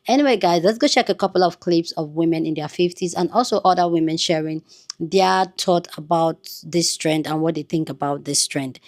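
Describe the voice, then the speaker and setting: A woman's voice: on the low side, fairly quick, darkish tone, dry recording, studio quality. A woman hosting her own channel, chatting casually and greeting her viewers directly. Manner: friendly, addresses viewers directly. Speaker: reaction channel host.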